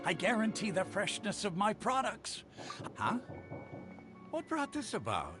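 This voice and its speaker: Blunt market trader, animated delivery. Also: male voice, sits high, unhurried, over music, full character voice. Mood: brusque. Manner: blunt, dismissive. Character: brusque adult male market merchant. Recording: game audio with soundtrack.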